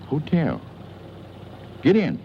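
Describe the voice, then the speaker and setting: A man's voice: a little high, huge pitch swings, slow, creaky, exaggerated voice. An actor playing an easygoing, helpful small-town man with a clipped, folksy way of talking in a 1940s film. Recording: muffled old film soundtrack with hiss.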